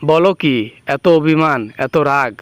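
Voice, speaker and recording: A man's voice: on the high side, steady tone, a little slow, smooth, no room sound, natural voice. A man playing a frightened, guilty prisoner in an amateur comic phone skit, stammering and pleading with exaggerated complaints. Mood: regretful. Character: frightened male prisoner, guilty, whining, woken by dream caller.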